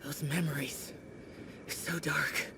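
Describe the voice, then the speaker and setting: Slow, brooding young immortal guardian. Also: male voice, a little high, level tone, gravelly, very noisy. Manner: terse, stern, formal. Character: aloof, brooding immortal youth guardian, weary of humans. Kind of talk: video game voice acting.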